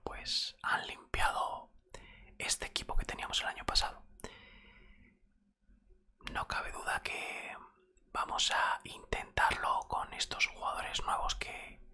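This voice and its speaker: A man with an accent from Spain speaks entirely in a close-miked whisper, airy and very bright. He plays a football coach in an ASMR roleplay, talking softly and without hurry, in a conversational way. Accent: Spanish from Spain. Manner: soft, unhurried, conversational. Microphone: close desktop microphone.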